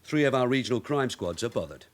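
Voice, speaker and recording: Radio drama lead, male actor: seasoned police sergeant, dry and blunt, mid-pitched with lively intonation. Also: quick pace, close to an everyday voice. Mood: brisk, wry. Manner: wry, blunt. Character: shrewd veteran London detective sergeant, dry and unflappable. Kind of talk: naturalistic radio drama acting.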